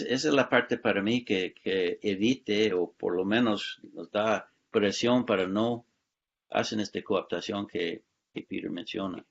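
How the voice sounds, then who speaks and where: A man's voice: on the low side, gravelly, breathy edge. A man speaking as a panelist in a discussion, hesitant and often checking in with tag questions. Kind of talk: discussion remarks. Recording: dull lo-fi sound.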